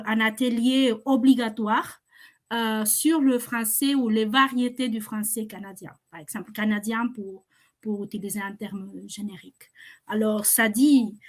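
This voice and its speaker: A woman teacher whose first language is not French talks on a video call panel. Her voice sits a bit high, and she hesitates often as she searches for words. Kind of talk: unscripted panel discussion.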